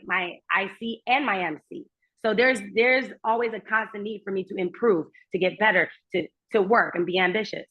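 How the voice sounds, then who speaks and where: A woman, a native German speaker, talking as a guest astrologer in a casual remote conversation. She speaks earnestly and personally, in long flowing runs. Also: mid-range voice. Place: remote, while traveling. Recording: muffled lo-fi remote audio.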